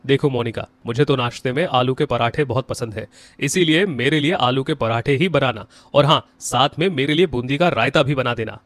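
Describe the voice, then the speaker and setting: A man's voice: mid-range voice, moderate pace, a bit bright, no room sound, lightly acted. A man playing a demanding, teasing family man with a mock-complaining manner in a moral story. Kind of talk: moral-story character acting.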